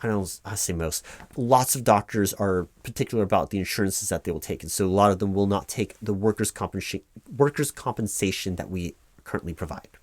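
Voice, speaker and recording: A man doing a spoken ASMR office roleplay as a claims administrator, reading forms aloud and explaining them in a halting, stammering, reassuringly repetitive way. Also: fairly low pitch, a little mellow, dry recording.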